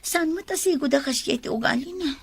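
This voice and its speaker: A woman's voice: high voice, fairly quick. A woman with a Filipino accent playing a submissive, lovesick young girlfriend in an audio drama, apologetic and hesitant. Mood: troubled.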